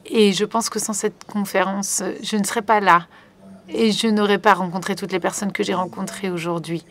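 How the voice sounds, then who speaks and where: A woman's voice: mid-range voice, little pitch movement, normal speed, a bit bright. Hesitant woman interpreting a panel. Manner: hesitant, many fillers and restarts. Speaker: conference interpreter.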